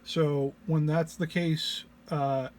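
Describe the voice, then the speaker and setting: A man giving an informal technical walk-through as a presenter, sounding low-energy and under the weather, with a hesitant, halting delivery. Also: flat delivery, darkish tone. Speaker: presenter demonstrating an audio effects patch. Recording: clear background noise, single mono microphone.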